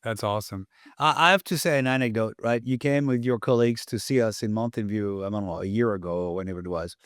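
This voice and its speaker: A man's voice: lowish voice, fast talker, no room sound. Male podcast host; chatty, stumbling, dry close-miked recording. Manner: chatty, stumbling restarts, tells anecdotes. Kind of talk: casual interview hosting.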